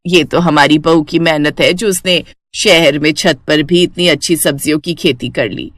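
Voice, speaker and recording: Blunt old cartoon mother-in-law played by a woman, homely, pitched down, dry sound. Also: moderate pace, a bit bright, dry recording. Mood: delighted, chatty. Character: elderly village-born mother-in-law, blunt and grumbling.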